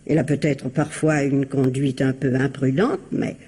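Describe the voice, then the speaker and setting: A woman playing an elderly, falsely sweet, gossipy and scheming mother-in-law in a radio mystery, in a husky, insinuating, theatrical manner. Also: very deep voice, fairly even intonation, normal speed, warm tone, a little hiss or hum. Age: older. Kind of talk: radio mystery drama acting.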